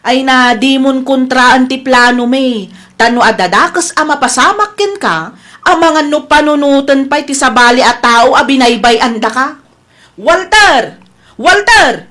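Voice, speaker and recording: A meddling sister-in-law in a radio play, with a high voice and an insistent, coaxing manner. She pushes her plans and won't let anyone argue. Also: expressive, a little slow, fairly bright tone. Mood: scheming. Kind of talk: radio drama acting.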